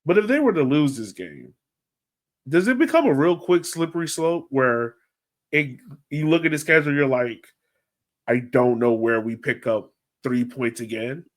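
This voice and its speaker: A man with a mid-range voice talks as a sports podcast co-host. His style is casual and conversational, with halting phrasing as he works through his thoughts. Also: medium pitch, expressive.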